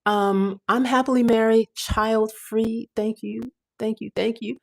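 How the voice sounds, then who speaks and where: A woman's voice: on the high side, steady tone, measured pace, creaky, rough recording. A woman in her fifties hosting a casual livestream, talking in a chatty, rambling way with fillers and restarts. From United States. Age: 50s. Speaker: livestream host.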